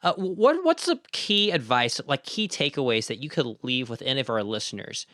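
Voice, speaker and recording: A man's voice: medium pitch, very animated, a little fast, sharp tone, dry recording, clean studio sound. A man hosting a podcast, speaking courteously in interview and scripted outro narration. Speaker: podcast host.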